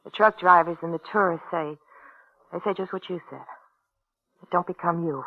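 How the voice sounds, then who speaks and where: An actress playing a frank, plainspoken young diner waitress, wistfully smitten, in a 1950s radio drama. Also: female voice, on the low side, moderate pace. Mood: knowing, intimate. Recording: very muffled, dry vintage radio recording.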